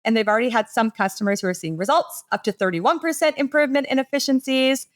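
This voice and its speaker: A woman's voice: a little high, quick pace. A woman giving a conversational business presentation as a podcast panelist, explaining things with enthusiasm in casual phrasing.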